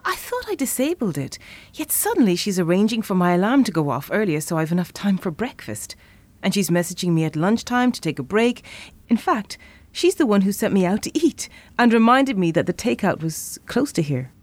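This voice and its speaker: A woman's voice: mid-range voice, sing-song, fairly quick, no echo. An actress playing a teasing, affectionate girlfriend in an audio drama. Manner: teasing, warm. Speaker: chocolatier girlfriend. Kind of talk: radio drama acting.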